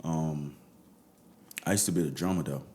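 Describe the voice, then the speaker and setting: Nigerian man, very low voice, relaxed chat, trails off softly. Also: moderate pace. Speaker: musician guest. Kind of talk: casual interview.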